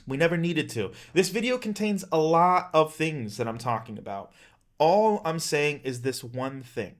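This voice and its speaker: A man's voice: a little high, expressive, moderate pace. A man in his thirties giving an earnest, emphatic personal rallying speech as a vlogger, in plain-spoken language. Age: 30s. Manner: impassioned, emphatic, plain-spoken with occasional swearing.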